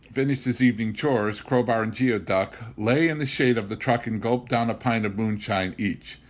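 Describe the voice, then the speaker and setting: A man reading a memoir novel aloud in an expressive, scripted storytelling style. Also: on the low side. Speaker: reader of an audiobook.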